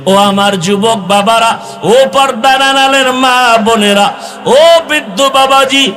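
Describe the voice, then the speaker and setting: A man's voice: very high voice, takes it slowly, bright, forceful tone. A Bangladeshi man preaching an Islamic sermon in a pleading, impassioned, half-sung way, drawing out his phrase endings into a chant. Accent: Bangladeshi Bengali. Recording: long echoing reverb with music behind.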